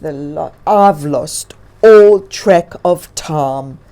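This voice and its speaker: A woman voicing a male grizzly bear in a children's storybook, with a slow, heavy, drawn-out delivery. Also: low-pitched, warm tone, voice pushed down, heavily acted. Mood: dejected, weary. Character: sad, lost, weary male grizzly bear.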